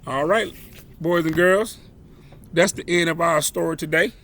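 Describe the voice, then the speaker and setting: Slow, bright-voiced man reading a children's story. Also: on the high side, steady tone, slight background noise. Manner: dramatic, deliberate phrasing with exclamations acted out. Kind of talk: expressive read-aloud of a children's picture book.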